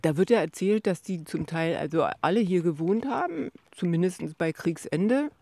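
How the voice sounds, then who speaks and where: High-voiced male interviewer. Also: animated, moderate pace, creaky, a little mellow, dry recording. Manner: brief, prompting questions. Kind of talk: interview questions.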